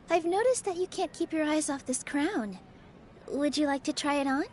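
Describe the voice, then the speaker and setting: A woman's voice: high voice, a little slow, slight background noise. A woman playing a cheerful, gracious young theater dancer in a video game, speaking politely and welcomingly. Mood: cheerful pride. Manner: bright-spirited, polite, welcoming.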